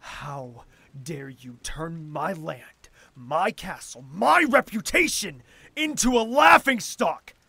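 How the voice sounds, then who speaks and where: A man's voice: fairly high pitch, animated, slow, vocal fry, bright, forceful tone, breathy edge, no room sound, full character voice. A man playing a haughty, wounded royal ruler in an audio drama, speaking formally and imperiously. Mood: hurt, indignant.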